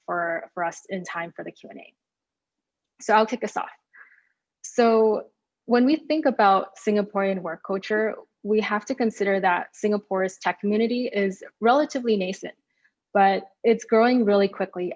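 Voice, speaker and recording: An upbeat woman moderates an online panel in an enthusiastic, friendly tone. Her voice comes through a video call with slightly dull, lo-fi audio. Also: mid-range voice.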